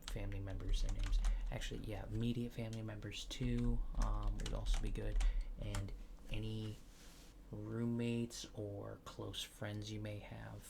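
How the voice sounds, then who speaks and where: A soft-spoken man performing an ASMR roleplay as a mild-mannered official, asking questions in a halting, polite, low-key way and slipping toward a whisper, close to the microphone. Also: vocal fry. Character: mild-mannered alien official disguised as human, awkwardly reassuring. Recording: audible background noise with keyboard typing.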